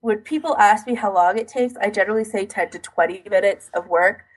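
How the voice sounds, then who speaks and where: A woman's voice: medium pitch, brisk, husky, poor audio. A woman co-hosting a podcast in casual chat, recounting things in detail with a self-deprecating streak. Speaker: podcast co-host.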